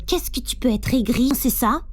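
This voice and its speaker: A woman's voice: on the high side, moderate pace, close to an everyday voice. A woman voicing a cheeky, nonchalant young prisoner in a fan dub. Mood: teasing, carefree. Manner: teasing, nonchalant. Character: cheeky, relaxed young female prisoner teasing her jailer. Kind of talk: fan-dub dramatic dialogue.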